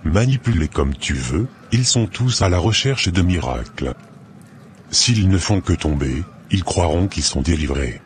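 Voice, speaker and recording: A man's voice: deep voice, expressive, unhurried, slight background noise. A man voicing a commanding, menacing evil occult master in a cartoon. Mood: scheming. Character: commanding male evil occult master scheming to destroy church members. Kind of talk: dramatic animated dialogue.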